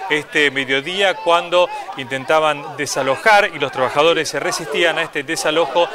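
A man's voice: a little high, normal speed, a crowd in the background. A man with an Argentine Spanish accent presenting a television news bulletin.

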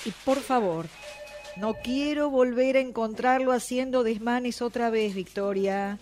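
A woman playing a patient, reasonable police officer in an amateur radio play, speaking in a measured, admonishing and concerned way. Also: a little high, darkish tone, soft background music, lightly acted. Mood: kindly but firm.

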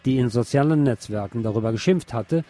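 A man reading scripted radio news in a measured, formal manner. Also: mid-range voice, music in the background.

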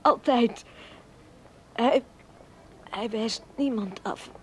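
An actress playing a gravely injured, devout older Salvation Army major in a radio drama, confused and in pain, speaking in a halting murmur. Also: female voice, fairly high pitch, a little mellow, a little hiss or hum. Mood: fervent, weak.

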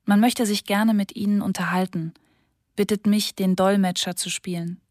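Female diary reader, measured and sober, dead-dry booth. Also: medium pitch, steady tone, a little mellow, no echo. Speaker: narrator reading a diary. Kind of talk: literary diary reading for radio.

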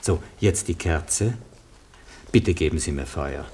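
A man acting a calm, wry rabbi who works miracles in a German radio play, courteous and instructing. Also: extremely low pitch, takes it slowly, exaggerated voice.

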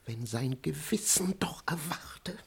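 An actor playing a servile, reluctant manservant in a classic radio play, muttering his disapproval in hushed asides. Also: fairly high pitch, animated, slow pace, gravelly, slightly rough, full character voice. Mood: despairing, hushed. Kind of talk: 1950s German radio play acting.

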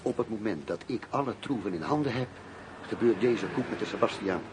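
A lowish male voice with faint noise behind it, an actor as a determined police commissioner in a radio drama. He sounds serious and formal, choosing his words deliberately. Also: expressive, natural voice. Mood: bitter, indignant. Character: grave, determined German police commissioner, loyal and suspicious. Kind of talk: radio play acting.

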